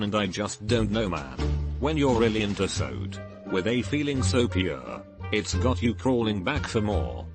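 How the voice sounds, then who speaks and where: A man reading out online forum posts as a scripted narrator, in an even, synthetic-sounding way. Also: fairly low pitch. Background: music.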